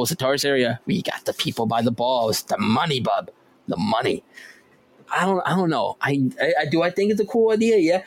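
A man's voice: medium pitch, no room sound. A man co-hosting a podcast, chatting casually in a joking, teasing way. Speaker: podcast co-host. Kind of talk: casual chat.

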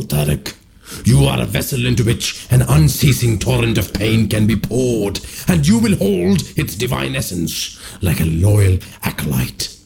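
A man's voice: lowish voice, creaky, darkish tone, a little hiss or hum, heavily acted. A man voicing an ancient, evil cosmic being that rules a realm of terror, speaking in a cold, commanding way.

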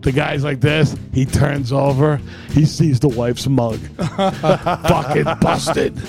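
A man with a gravelly, fairly high voice banters as a podcast co-host. He is slangy and swears a lot, and music is clearly audible behind him. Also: fairly even intonation, slow. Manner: profane, slangy, joking. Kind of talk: casual chat.

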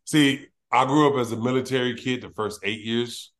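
A man from Los Angeles hosting a casual interview, talking in a laid-back, slangy way with a deep voice and ready laughter. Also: normal speed, fairly bright tone. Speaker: podcast host.

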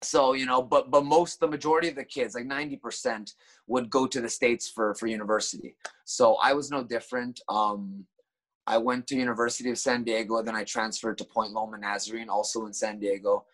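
A man from Japan, a guest in a casual interview, recounting his upbringing in a relaxed, halting way with many fillers. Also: medium pitch.

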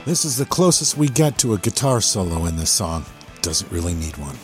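A man hosting a music podcast, talking in a conversational, measured and explanatory way about a song, close on the microphone. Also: low-pitched, sing-song. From USA.

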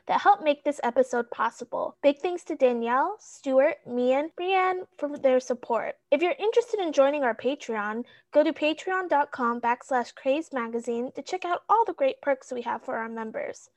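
A woman's voice: high-pitched, animated, normal speed, hardly any pauses, darkish tone, no room sound. A woman from the US Midwest co-hosting a podcast, chatting in a laid-back, slangy way. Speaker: podcast co-host. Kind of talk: casual podcast chat.

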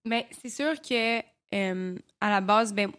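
A young woman speaks in a Québécois French accent, relaxed and talkative as a guest in an interview. She hesitates often and strings thoughts together loosely. Her voice sits at an ordinary middle pitch. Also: takes it slowly, clean tone. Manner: chatty, full of fillers like "comme" and "euh". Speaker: actress guest. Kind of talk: casual interview chat.

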